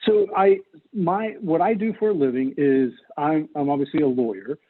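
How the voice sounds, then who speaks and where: A man's voice: fairly high pitch, normal speed. American man on a tinny, phone-like line. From North Carolina, United States. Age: middle-aged. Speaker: political candidate, lawyer. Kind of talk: interview answers, campaign talk.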